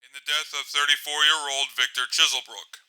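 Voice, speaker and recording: A man's voice: fairly high pitch, little pitch movement, a little fast, bright, forceful tone, clear, resonant tone, poor audio. A man playing a composed news reporter, reading scripted news in a formal, articulate way.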